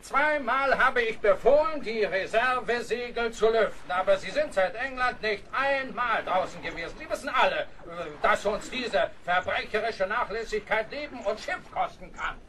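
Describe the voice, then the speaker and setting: A man's voice: high-pitched, expressive, normal speed, hardly any pauses, sharp tone, slight background noise. A man playing a tyrannical, suspicious ship's captain in a historical radio play, barking orders and sarcastic accusations. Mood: biting sarcasm.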